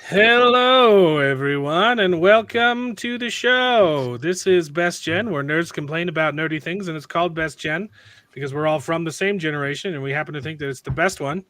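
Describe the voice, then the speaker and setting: A man hosting a casual podcast, hoarse from a cold, rambling with restarts and joking asides. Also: on the high side, moderate pace, fairly bright tone, no echo. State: hoarse, losing his voice from a cold. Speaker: podcast host.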